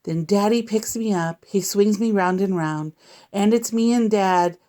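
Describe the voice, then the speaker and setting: A woman reading a picture book aloud to young children in an expressive, deliberate, teacherly way. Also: medium pitch. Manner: deliberate, animated reading with sound effects and asides to listeners.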